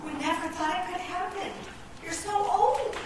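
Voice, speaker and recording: A woman playing an eager, childlike, blunt living chess piece in a radio play, excitable and wide-eyed. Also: very high voice, moderate pace. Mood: blunt wonder. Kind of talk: live radio play acting. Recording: echoey noisy stage, far from mic.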